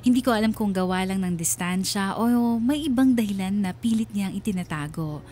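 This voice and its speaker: An actress playing a troubled, pensive wife who narrates her letter in a radio drama, measured and sorrowful, with a Filipino accent. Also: mid-range voice, warm tone, close to an everyday voice. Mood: uneasy, sad. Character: wistful, uneasy wife narrating her letter about family abroad. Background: music.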